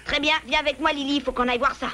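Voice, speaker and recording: An actor voicing a plucky, polite and curious little beaver child in a French animated cartoon, with a frank, animated manner. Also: high-pitched, very fast. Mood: calm, curious. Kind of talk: French animated series dubbing.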